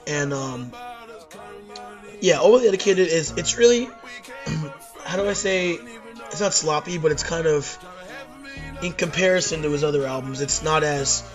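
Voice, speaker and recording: A hoarse young man ranking albums in a rambling, casual talk, full of fillers and self-corrections. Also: a little high, moderate pace, pauses often, music in the background. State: hoarse from losing voice at a concert. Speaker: YouTube music reviewer.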